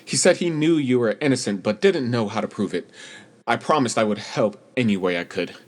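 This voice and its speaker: A man's voice: mid-range voice, lively intonation, fairly quick, natural voice. A man acting a gallant caped theater hero in a radio play, earnest and theatrical in manner. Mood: gentle, bashful. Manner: theatrical, chivalrous, bashful when flustered.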